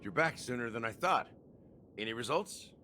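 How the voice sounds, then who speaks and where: An actor voicing a gruff, world-weary veteran in a game, curt and dry. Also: male voice, medium pitch, lively intonation, normal speed, noisy background, lightly acted. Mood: wry, resigned. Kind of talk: scripted game voice acting.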